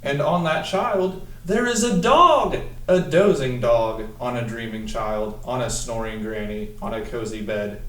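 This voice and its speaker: A young man with a mid-pitched voice reads a picture book aloud as a student teacher would. He stretches the story lines deliberately and speaks instructively to young listeners. The sound is roomy, picked up from a few feet away in a small room. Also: a bit bright. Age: young adult. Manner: deliberate, instructional, drawn-out when reading the text. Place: small room at a table, indoors. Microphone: camera mic a few feet away. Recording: roomy echo, distant microphone.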